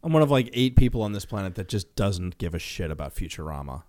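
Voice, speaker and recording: A man's voice: deep voice, big pitch swings, fast talker, a little mellow. A man co-hosting a casual podcast, giving blunt, emphatic opinions in loose banter. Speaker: podcast co-host.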